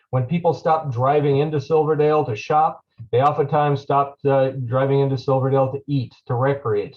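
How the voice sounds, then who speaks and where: A man's voice: mid-range voice, highs cut off. A man who is a deputy county administrator, giving a loose presentation at a public meeting with many ums and uhs. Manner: frequent fillers, loose and conversational.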